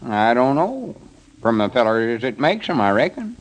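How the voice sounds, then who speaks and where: A man's voice: medium pitch, big pitch swings, moderate pace, close to an everyday voice. Man acting a folksy, swooping backwoods storekeeper. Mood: puzzled, pondering. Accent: rural Southern US. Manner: drawling, homespun, hesitant when thinking. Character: folksy male backwoods storekeeper, eager and scheming. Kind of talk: 1930s radio comedy serial acting. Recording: old 1930s radio broadcast.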